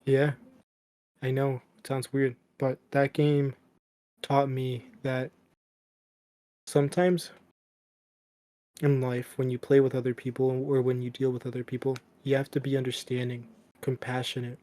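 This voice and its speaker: A young man co-hosting a casual podcast, talking in a flat, deadpan monotone. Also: mid-range voice, unhurried, pauses often, no echo. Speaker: podcast co-host. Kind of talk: casual chat.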